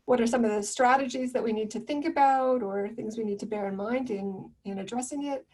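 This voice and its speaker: A soft-spoken woman giving a gentle, reflective webinar presentation about loneliness over a video call. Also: on the high side, a little fast, distant mic.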